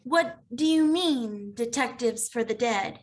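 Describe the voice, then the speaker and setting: Woman as a high-voiced, timid teenage girl. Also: expressive, slow pace. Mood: puzzled. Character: timid, grieving seventeen-year-old girl. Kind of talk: animated comedy table read.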